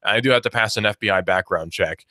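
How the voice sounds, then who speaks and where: A young man in his twenties talks through his views on a radio show, looping back and restarting his sentences as he goes. His voice sits fairly low, and the sound is close and dry with no room echo. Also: fast, sharp tone. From United States. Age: 20s. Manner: rambling, opinionated, many restarts and fillers. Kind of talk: casual talk radio monologue.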